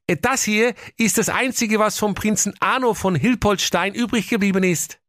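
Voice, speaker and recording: A man's voice: high voice, moderate pace, a bit bright, no room sound, voice pushed up. Grumpy cheeky butler, raised voice, snippy, dry close sound, man putting it on. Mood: exasperated. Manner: exasperated, snippy retorts. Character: hunchbacked male servant, fed up and cheeky.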